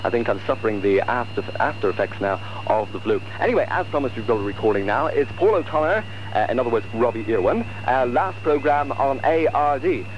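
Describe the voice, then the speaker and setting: A hoarse man working as a radio DJ, chatty and jokey. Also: mid-range voice, lively intonation, fairly quick, a little hiss or hum. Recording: dull old radio recording with music.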